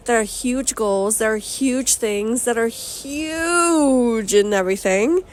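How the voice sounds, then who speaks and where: A young woman with a high voice talks through her own vlog in a bubbly, slangy way. Her voice sounds slightly strained as it recovers, and she swoops from excited squeals to low asides. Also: slow pace. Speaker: vlogger and podcast host. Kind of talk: casual upbeat vlog talk.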